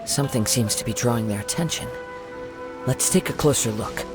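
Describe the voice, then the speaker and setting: A man voicing a calm young wandering samurai in a video game, soft-spoken, composed and reassuring. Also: medium pitch, brisk, over music, natural voice.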